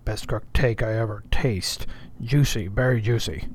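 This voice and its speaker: A man's voice: mid-range voice, steady tone, normal speed, darkish tone, close to an everyday voice. A man voicing a sullen, weary ship's cook in his nineties, grumbling in folksy dialect for an audiobook. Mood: muttering. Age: 90s. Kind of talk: audiobook character voice.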